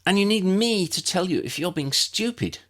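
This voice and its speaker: A man's voice: high voice, big pitch swings, moderate pace, a bit bright, pitched above the performer's own voice. A man voicing a frank, plucky young girl with a small voice in an audiobook.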